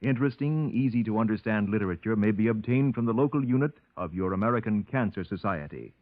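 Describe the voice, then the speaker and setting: A tinny, echoey old radio recording carries a male announcer with a lowish voice. He delivers each phrase formally, measured and authoritative, in 1950s broadcast style. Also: animated.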